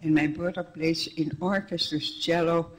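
A woman's voice: low-pitched, steady tone, moderate pace. An older woman from the Netherlands with a Dutch accent, giving a plainspoken personal account to an audience. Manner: plainspoken, restarts sentences, wry. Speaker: guest speaker.

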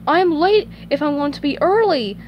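Woman plays eager childlike sponge fry cook, storybook style. Very high, exuberant, sing-song. Noisy recording. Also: lively intonation, normal speed, darkish tone. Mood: bubbly, reassuring. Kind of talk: children's storybook character reading.

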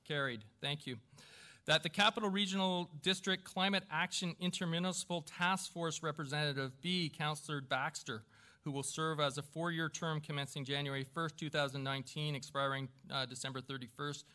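A man speaking as mayor at a council meeting, running through formal procedure in a halting, self-deprecating way with informal asides. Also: a little high, pushed and bright. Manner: halting, self-deprecating, stumbling over names. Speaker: newly elected mayor chairing a council meeting. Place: council chamber with a small audience.

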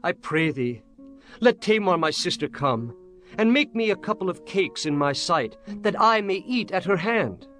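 An actor playing a lovesick, demanding young prince in a dramatized audio Bible, speaking in imperious, archaic phrases. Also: male voice, high voice, sing-song, a little slow, a bit bright, soft background music, heavily acted. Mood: yearning. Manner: imperious, archaic formal speech.

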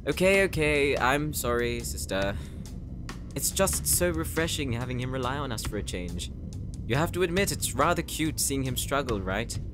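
A man's voice: mid-range voice, animated, moderate pace, music in the background, natural voice. A man voicing a smug, playful paladin in a comedic fantasy audio drama, needling and theatrical. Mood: playful, placating. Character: teasing, smug, playful male paladin companion.

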